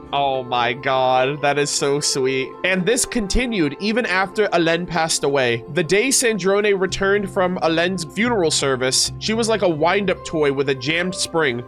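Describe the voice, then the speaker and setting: A man, a game streamer, reading lore aloud and reacting with casual, slangy, excitable commentary. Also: high-pitched. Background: background music.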